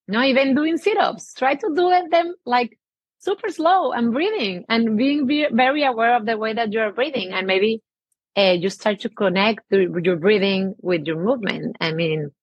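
A woman from Mexico with a Mexican Spanish accent, a yoga teacher speaking as a guest in a casual interview. She talks gently and searches for words, often restarting with "I mean". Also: mid-range voice, animated, measured pace, husky, dry recording, lo-fi sound.

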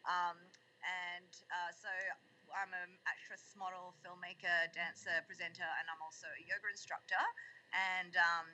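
A woman's voice: on the low side, level tone, a little slow, bright, forceful tone, low-quality recording. An Australian woman from Melbourne, a podcast guest and actress, talking casually with lots of ums. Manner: rambling, many ums. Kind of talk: casual interview chat.

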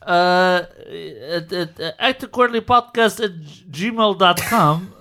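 Man podcast host, jokey, higher voice, bright tone. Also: takes it slowly. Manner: jokey, laughs a lot. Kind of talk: casual chat.

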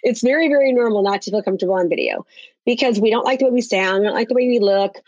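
A woman with a raspy voice, a real estate coach talking with energy and emphasis in a podcast interview. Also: mid-range voice, quick pace, dry recording, lo-fi sound. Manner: energetic, emphatic, many restarts.

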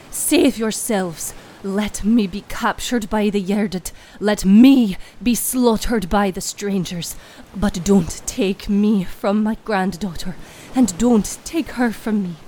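A woman's voice: medium pitch, slow pace, dark tone. A woman voicing a desperate old grandmother in a fantasy story, pleading with emotion.

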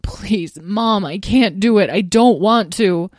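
A woman's voice: medium pitch, slow pace, a little mellow, no echo, studio quality, pitched above the performer's own voice, natural voice. A woman voicing a young male dragon in a children's story, sickly and stubborn, with a whiny, pleading, defensive manner. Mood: anguished, pleading.

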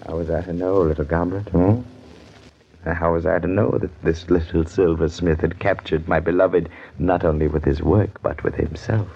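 Low-voiced, bitter, imperious nobleman. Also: steady tone, moderate pace, creaky, warm tone. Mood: bitter brooding. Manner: theatrical, imperious, musing. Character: bitter, jealous nobleman brooding over wine and betrayal. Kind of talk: old-time radio drama acting. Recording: muffled old radio recording, faint noise.

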